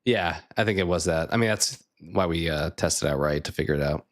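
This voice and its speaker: Lowish-voiced man chatting while gaming. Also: brisk. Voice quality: slightly raspy. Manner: thinking aloud, chatty, answers chat. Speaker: streamer. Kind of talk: casual live gameplay chat.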